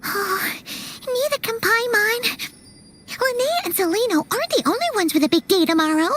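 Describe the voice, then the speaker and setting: A woman voicing a tiny, childlike fairy companion in a video game, perky and eager, with a cutesy squeaky delivery. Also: lively intonation, moderate pace, heavy background noise. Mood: coaxing, restless.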